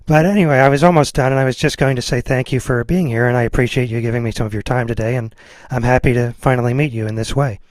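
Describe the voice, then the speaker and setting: A man's voice: mid-range voice, fairly even intonation, quick pace, no room sound. Man, podcast host, casual chat, easygoing, agreeable, very little room sound.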